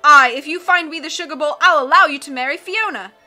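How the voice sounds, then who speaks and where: A woman reading aloud and voicing a blustering male sea captain who booms and keeps saying "aye". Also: fast talker, pushed and bright, soft background music. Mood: boisterous. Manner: bellowing, peppers speech with "aye".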